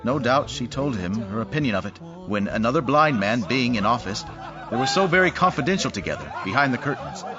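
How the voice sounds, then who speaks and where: A man's voice: medium pitch, very animated, a little fast. A man narrating a dramatised story in a wry, theatrical manner. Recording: dull audio with music behind.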